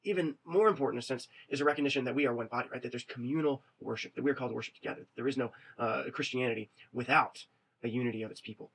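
A man in his thirties giving a recorded webcam lecture on religion, talking quickly and conversationally and often checking in with "right?". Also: mid-range voice, gravelly, fairly bright tone, breathy edge, rough recording. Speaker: religion teacher. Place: small indoor room. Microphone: webcam at desk.

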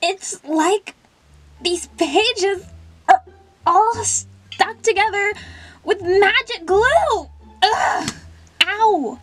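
A woman's voice: extremely high pitch, drawn-out words, pauses often, fairly bright tone, pitched above the performer's own voice, heavily acted. A woman playing a dramatic, sulky young girl with a whiny manner in an amateur doll stop-motion story. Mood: frustrated, alarmed.

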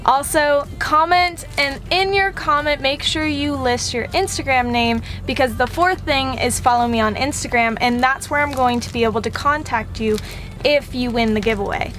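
A woman's voice: high voice, moderate pace, a bit bright. A young woman chatting casually and informally to the camera as a vlog host, recorded inside a truck cab. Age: young adult.

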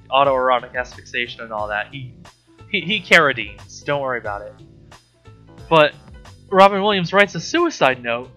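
A man's voice: fairly high pitch, sharp tone, lo-fi sound. A man reading aloud and commenting off the cuff as a host, sarcastic and incredulous, with exasperated, profane asides.

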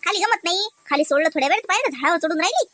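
Woman acting high-voiced chatty village-style housewife. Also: lively intonation, racing, pushed and bright, dry recording, studio quality, heavily acted. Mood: playful scolding. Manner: chatty, colloquial, homely. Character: chatty homemaker wife and mother, fond, mildly grumbling. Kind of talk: conversational cartoon dialogue.

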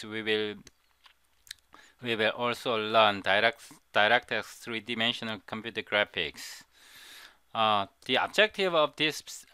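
Korean-born middle-aged man, slow and halting, bright clear voice, dry close sound. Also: medium pitch, no room sound. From South Korea. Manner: halting, repeats and restarts words. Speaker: programming tutor. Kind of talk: screen-recorded coding tutorial.

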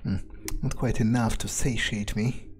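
A man giving in-character game playthrough commentary, smug, sardonic and profane, musing haltingly into a close microphone. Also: fairly low pitch, fairly even intonation, faint music behind. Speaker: gamer role-playing his character.

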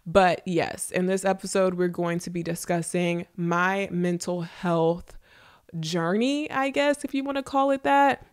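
A woman's voice: lowish voice, measured pace, clean tone. A young American woman with a congested, nasal voice, chatting casually and directly to listeners as a solo podcast host, close on a studio mic. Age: late 20s to early 30s. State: congested with a runny nose. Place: small indoor room with shelving. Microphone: close studio podcast microphone with headphones.

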